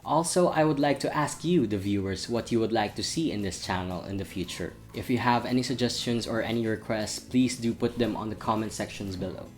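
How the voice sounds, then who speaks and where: Young man with a lowish voice. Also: a little fast, hardly any pauses. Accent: international school. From Saudi Arabia. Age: young adult. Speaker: channel host. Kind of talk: vlog update talking to camera.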